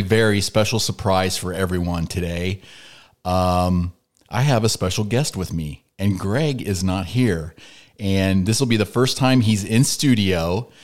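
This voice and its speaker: A man hosting a podcast, chatting casually in a jokey, teasing way with his guest in a studio. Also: on the low side. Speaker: podcast host. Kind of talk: casual banter and interview.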